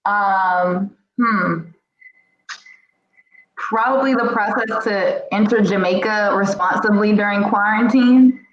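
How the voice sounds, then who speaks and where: A woman's voice: mid-range voice, slow, roomy sound, lo-fi sound. A woman guest in a casual group chat, talking chattily with lots of fillers and frequent laughs. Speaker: podcast guest.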